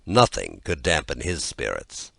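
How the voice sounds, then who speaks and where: A man with a low voice narrates a fairy tale, his intonation rising and falling freely. The delivery is measured and expressive, and the sound is dry and close, with very little room. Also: vocal fry, no echo. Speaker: audiobook narrator.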